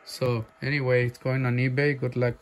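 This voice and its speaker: A man casually showing off a radio he is selling, talking haltingly with many fillers and breaking into loud clipped mic tests. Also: mid-range voice, flat delivery. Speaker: seller demonstrating a radio. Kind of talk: casual off-the-cuff product demo. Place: indoor workshop bench.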